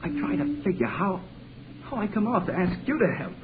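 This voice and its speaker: Earnest lovesick young American, halting and pleading, highly animated pitch, vintage radio play with clear hiss and noise. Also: male voice. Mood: urgent, pleading. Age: young adult. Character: earnest, desperate lovesick young man with a bad leg. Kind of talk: 1940s radio drama acting. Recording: noisy, tinny 1940s radio broadcast.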